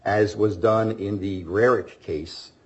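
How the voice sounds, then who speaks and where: A man's voice: lowish voice, fairly even intonation, takes it slowly, darkish tone, muffled recording, faint music behind. A man arguing in court as an attorney, firm and insistent. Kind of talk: courtroom argument.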